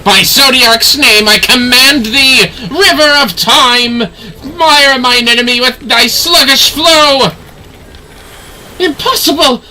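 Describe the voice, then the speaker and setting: An actor playing an arrogant, menacing immortal sorcerer in a fantasy video game, declaiming in archaic, grandiose language over noisy game audio. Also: male voice, extremely high pitch, slow pace, bright, forceful tone, exaggerated voice. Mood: imperious. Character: theatrical, arrogant immortal male dark sorcerer villain.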